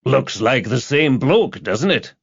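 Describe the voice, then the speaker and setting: A man voicing a hearty, chatty male police detective sergeant in an audiobook, with an animated, blokey manner. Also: medium pitch, slow pace, vocal fry, slightly rough, muffled recording, pitched above the performer's own voice, heavily acted. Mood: curious, friendly.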